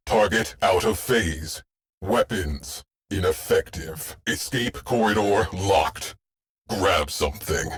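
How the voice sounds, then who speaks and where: An actor playing a grave, weary artificial mind of a starship in a video game, speaking in clipped, cryptic military phrases with a gravelly voice. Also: male voice, deep voice. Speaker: ship AI giving crew briefings and alerts. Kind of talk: scripted video game voice lines.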